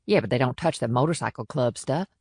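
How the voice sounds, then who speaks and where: A man's voice: mid-range voice, lively intonation, quick pace, darkish tone, breathy edge, no room sound. Man voicing a plainspoken small-town policewoman, dry studio sound. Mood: defensive. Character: firm, plainspoken small-town policewoman defending her townsfolk. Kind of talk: audiobook character dialogue.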